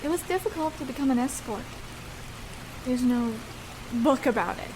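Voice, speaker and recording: High-voiced, hesitant young escort, acted by a woman. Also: rough recording. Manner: hesitant, halting, soft-hearted. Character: shy first-time female escort, nervous, tender, vulnerable. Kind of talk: intimate ASMR roleplay acting. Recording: clear noise, rain sounds behind. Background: rain falling.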